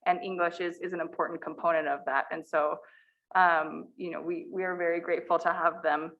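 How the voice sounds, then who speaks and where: A woman on exchange program staff explaining the program to a meeting in a clear, measured way with some ums. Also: lowish voice, little pitch movement, narrow, tinny audio.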